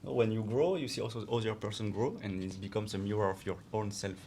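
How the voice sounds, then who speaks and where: Low calm guy, soothing meditation-leader vibe, slow even phrasing, faint hum behind. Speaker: podcast co-host. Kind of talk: guided meditation then casual chat. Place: Barcelona.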